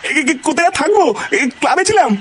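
A man puts on a brash, shifty cartoon villager with an extremely high voice. He blusters crudely and rattles along very fast, on a noisy recording. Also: animated, fairly bright tone. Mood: evasive. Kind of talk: Bengali comedy cartoon dubbing.

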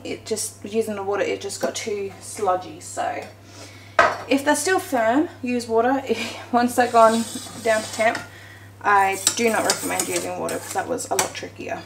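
A woman's voice: fairly high pitch, unhurried, gravelly. A woman talking casually while she bakes at home, chatting and correcting herself as she goes. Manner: chatty, self-correcting, talks while working with hands. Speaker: home cooking vlogger. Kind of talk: casual baking walkthrough. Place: home kitchen, echoey. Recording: echoey kitchen with faint noise.

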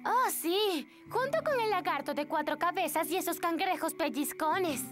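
An actress playing a perky, scheming young girl in a children's cartoon, with an expressive, dramatic delivery. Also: very high voice, normal speed, over music. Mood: coaxing.

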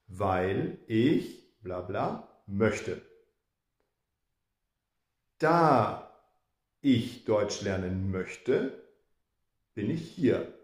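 Slow, gravelly man teaching German. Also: fairly low pitch, reverberant. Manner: slow, deliberate explaining with repeated example sentences. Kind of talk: grammar lesson to camera. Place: indoor room with plants behind. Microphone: camera in front, head and shoulders.

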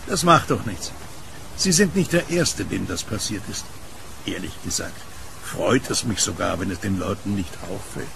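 Blind kiosk vendor, war veteran: gentle, lively range, gravelly edge, noisy outdoor ambience, horror radio play. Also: male voice, on the low side, measured pace. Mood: calm, candid. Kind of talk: audio drama acting.

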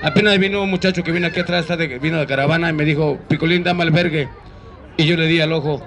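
High-pitched Mexican street clown, male. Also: steady tone, fast, fairly bright tone. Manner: teasing, cheeky. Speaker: street clown comedian hosting a live show. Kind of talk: improvised street comedy, crowd banter. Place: outdoor public park in a city. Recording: outdoor urban noise, crowd around.

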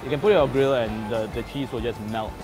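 A relaxed Singaporean man in his thirties, chatting and joking as he hosts a food show at a seaside restaurant. His voice sits in an ordinary middle pitch, and music plays underneath. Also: fast. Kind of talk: casual food vlog chat.

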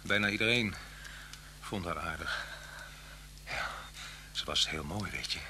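An actor playing an unhappy, soft-spoken husband on the run in a Dutch radio play, speaking haltingly and often dropping to a near-whisper. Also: male voice, deep voice. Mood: wistful, bitter. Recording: hum and hiss of old radio recording.

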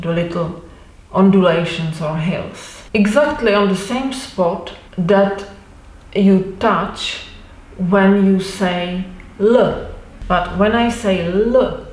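A woman in her forties whose first language is Czech, teaching pronunciation to camera and demonstrating sounds slowly and reassuringly. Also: medium pitch. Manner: slow, deliberate, reassuring, repeats sounds to demonstrate. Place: indoor room with wooden door and bookshelf. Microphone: fixed camera at mid distance. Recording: roomy echo.

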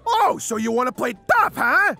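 An actor playing a hot-headed, jealous teenage male werewolf, aggressive and confrontational, in an animated web roleplay. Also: sits high, normal speed, vocal fry, soft background music, full character voice. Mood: angry.

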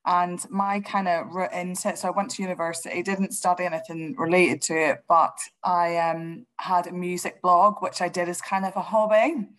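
A woman's voice: fairly low pitch, steady tone, normal speed, hardly any pauses, a bit bright. A woman in her thirties with a Northern Irish accent, speaking fluently and encouragingly as a panelist on a video call. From Northern Ireland. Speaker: radio panelist. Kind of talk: conversational answer on a panel.

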